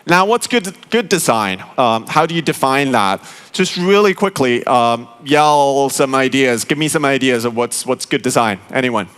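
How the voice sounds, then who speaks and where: A man from Finland, giving a conference talk in an explanatory, halting way, with many fillers and direct appeals to the audience. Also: medium pitch, animated, a bit bright, clear, resonant tone. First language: Finnish. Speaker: conference speaker, interaction design director.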